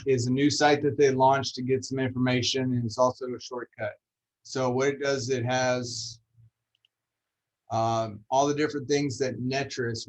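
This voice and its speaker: A man running a casual online training session over a video call, relaxed and joking as he talks. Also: medium pitch, low-quality recording. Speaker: MLS trainer.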